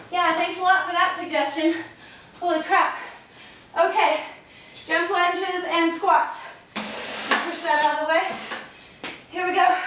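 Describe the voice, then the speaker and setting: A young adult woman with a croaky voice from a cold, chatting casually and coaching a workout in a garage. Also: very high voice, background noise. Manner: chatty, upbeat, counts reps while exercising. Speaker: fitness vlogger. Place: large garage with metal door. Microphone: far camera. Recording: echoey garage from far off.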